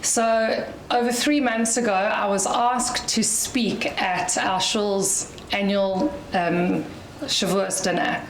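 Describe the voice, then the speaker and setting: A South African woman with a loud voice gives an inspirational talk to an audience as guest speaker, chatty with light laughs. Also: medium pitch, fairly even intonation, takes it slowly, few pauses, roomy sound, a little hiss or hum, low-quality recording. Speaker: guest speaker at a community dinner.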